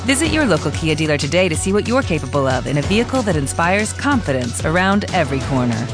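A man's voice: a little high, sing-song, quick pace. A man reading polished scripted commercial narration as a car ad announcer. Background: loud music.

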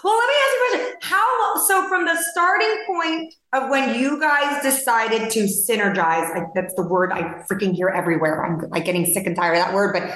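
A woman with a hoarse voice hosting a podcast interview, animated, laughing often and telling stories by analogy. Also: fairly high pitch, moderate pace, few pauses. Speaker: podcast host and lawyer. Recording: very echoey room.

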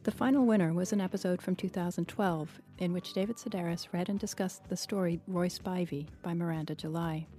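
Woman, podcast host, slightly low warm voice, articulate, composed, literary interview style. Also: steady tone, fairly quick, few pauses, faint music behind.

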